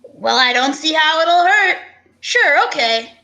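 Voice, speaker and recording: A woman's voice: high voice, animated, takes it slowly, sharp tone, full character voice. A woman playing an easygoing male homicide detective with casual, obliging cop talk in an old-time radio parody.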